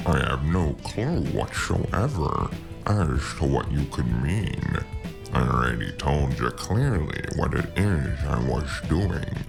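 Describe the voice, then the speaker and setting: Very deep, drawling gentleman spy. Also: animated, creaky, exaggerated voice. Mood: coyly evasive. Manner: pompous, theatrical, drawn-out flowery phrasing. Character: genteel, eccentric, teasing gentleman spy in a dive bar. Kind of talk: noir audio drama acting. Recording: guitar music behind the voice. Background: plucked guitar music.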